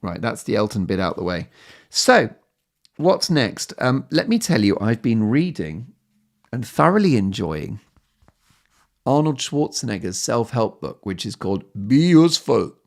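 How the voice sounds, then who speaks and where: A man's voice: medium pitch, sing-song, unhurried, creaky. A man hosting a podcast in a chatty solo monologue, speaking emphatically and with opinion, often building his points up in lists. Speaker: podcast host.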